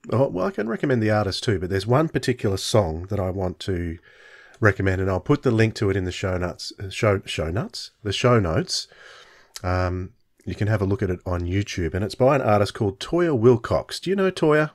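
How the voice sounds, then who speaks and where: A man's voice: lowish voice, lively intonation, moderate pace. A middle-aged man co-hosting a podcast, chatting casually and jokily as he gives recommendations into a close microphone. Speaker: podcast host. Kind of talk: casual chat, giving recommendations. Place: indoors on a video call. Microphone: large close microphone with headset.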